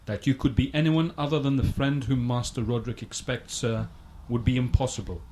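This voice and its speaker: An actor as ominous, formal gothic manservant. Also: male voice, medium pitch, fairly even intonation, brisk, darkish tone, a little hiss or hum, lightly acted. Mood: ominous deference. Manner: formal, cryptic, deferential. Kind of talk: gothic radio drama dialogue.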